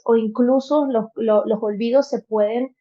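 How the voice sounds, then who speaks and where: Venezuelan woman, thirties, warm mellow tone, slightly muffled lo-fi audio, earnest storytelling, fillers and restarts. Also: fairly high pitch, fairly even intonation, measured pace. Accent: Venezuelan Spanish. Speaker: podcast guest. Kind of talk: candid personal storytelling.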